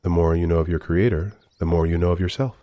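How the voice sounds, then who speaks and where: A very low-voiced, dark and mellow AI companion , acted by a man, speaks with measured formality. He is polite, philosophical and easily slighted, as in a sci-fi audio drama with music underneath. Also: fairly even intonation, dry recording, close to an everyday voice. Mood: earnest, reflective. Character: emotional, polite male AI robot companion, introspective and easily slighted. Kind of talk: scripted audio drama narration and dialogue.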